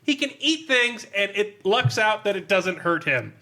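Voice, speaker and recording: A man reviewing a role-playing book casually among friends in an animated, amused, sweary and sardonic way. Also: high-pitched, unhurried. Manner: animated, amused, often laughing while talking; profane, sardonic.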